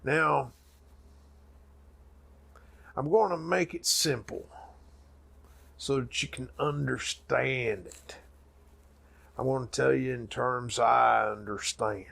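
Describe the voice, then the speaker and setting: Casting: folksy comic preacher, extremely croaky, very slow, solemn and grandiose storytelling. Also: male voice, medium pitch, fairly bright tone, full character voice. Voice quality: gravelly, raspy. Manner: drawn-out, folksy, dramatic pauses and sudden swells. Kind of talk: mock sermon storytelling.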